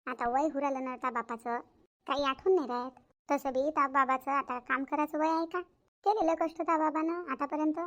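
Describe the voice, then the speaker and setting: A woman acts out a proud village mother-in-law from a family cartoon. Her voice is high and her talk rattles along quickly, chatty and emphatic. She has a folksy Varhadi accent and a bossy, self-satisfied manner. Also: steady tone, lo-fi sound, lightly acted. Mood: explaining. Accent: Varhadi Marathi of Vidarbha, India. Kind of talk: Marathi family cartoon story acting.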